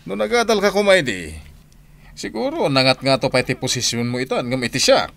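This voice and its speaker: Blunt, animated, scolding older brother. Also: male voice, mid-range voice, brisk, creaky. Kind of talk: radio drama acting in Ilocano.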